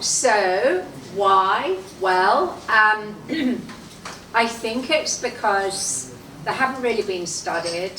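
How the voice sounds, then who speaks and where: Woman rambling through an answer, lots of ums, roomy hall with chatter behind. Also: mid-range voice. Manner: chatty, many ums, laughs lightly. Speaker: guest speaker, art academic. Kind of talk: answering an audience question. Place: gallery or club room with audience. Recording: echoey room, distant mic, noisy background.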